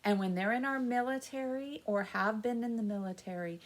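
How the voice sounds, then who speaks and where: A woman's voice: a little high, normal speed. A woman hosting a children's story time, explaining things in simple, encouraging words addressed to young kids. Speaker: library story time host.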